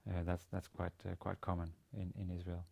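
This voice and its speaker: A man in his forties, a native Danish speaker with a Danish accent, giving an instructional lecture in a halting, hesitant manner with repeated words. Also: low-pitched, completely flat delivery, vocal fry, mellow. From Denmark. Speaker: university language teacher. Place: indoor studio with plain grey backdrop.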